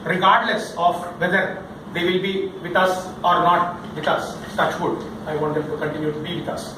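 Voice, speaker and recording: High-pitched man teaching in an echoey room. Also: little pitch movement, normal speed. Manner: theatrical, emphatic, questioning delivery with demonstrations. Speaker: workshop speaker, voice trainer. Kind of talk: interactive public-speaking lesson to a group. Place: indoor session room with audience. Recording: echoey room, distant mic, background noise.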